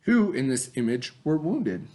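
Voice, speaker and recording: A man reading aloud as a teacher, in an expressive, instructive way, with questions and simple explanations for pupils. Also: fairly high pitch, measured pace.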